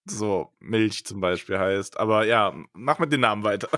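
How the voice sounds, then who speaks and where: A man's voice: on the low side, moderate pace, a bit bright, dry recording. A man co-hosting a podcast in casual chat, hesitant and hedging, with dry humor. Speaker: podcast co-host.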